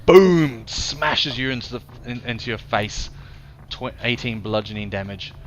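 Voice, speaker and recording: A man's voice: mid-range voice, expressive, a little slow, a little hiss or hum. A man with a New Zealand accent running a live tabletop game as game master, loose and playful, punchy when he narrates big hits.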